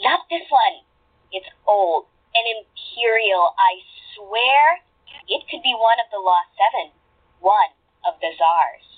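A woman's voice: medium pitch, animated, slow pace, husky. Phone-like, cocky woman thief. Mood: insistent, impatient. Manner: sardonic, talks to herself and her cat. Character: cocky female thief, brash, wry and playful. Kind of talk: audio drama acting.

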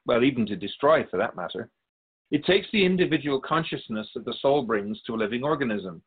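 A man playing a patient, all-knowing keeper of souls in a radio drama, explaining things in a measured, gently wry way. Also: mid-range voice, expressive, slightly rough, phone-quality sound. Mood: patient, explanatory. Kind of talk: scripted radio drama dialogue.

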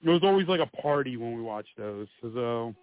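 Laughing, hoarse man on a tinny line. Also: medium pitch, animated, a little fast, no room sound. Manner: laughs often, rambling, swears freely. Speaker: co-host. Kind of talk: casual podcast chat. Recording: tinny phone-like line, dry.

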